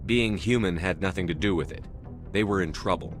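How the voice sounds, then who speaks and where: Game lead: decisive military man, played by an actor, deep register, clipped and businesslike, over music. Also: fairly quick, natural voice. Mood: firm. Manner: terse, businesslike. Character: firm, decisive military commander, male, heroic. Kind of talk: video game voice acting.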